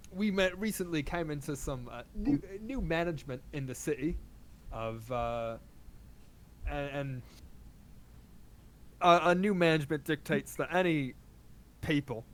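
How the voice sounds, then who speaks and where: A man's voice: medium pitch, a bit bright, a little hiss or hum, close to an everyday voice. A man voicing a male city guard who rambles apologetically about cruel orders in a tabletop game.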